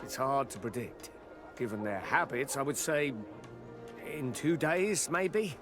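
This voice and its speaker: An actor playing a frightened, deferential merchant in a video game, hesitant and earnest. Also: male voice, mid-range voice, a little slow, over music, lightly acted. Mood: worried. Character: frightened, deferential male shopkeeper, earnest and grateful.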